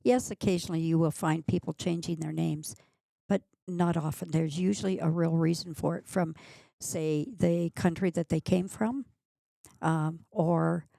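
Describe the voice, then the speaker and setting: A woman with a mellow, somewhat low voice and a gravelly fry chats on a podcast. She is matter-of-fact and sets people straight firmly. The sound is dry and close. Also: steady tone, normal speed, no echo. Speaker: genealogy society guest. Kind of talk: casual podcast conversation.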